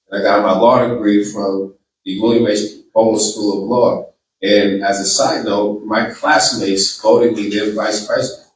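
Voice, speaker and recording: A man with a Boston accent giving a campaign speech and taking questions, earnest and often repeating his words. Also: on the low side. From Boston, Massachusetts. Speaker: political candidate. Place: large room with an audience. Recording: echoey room, distant mic.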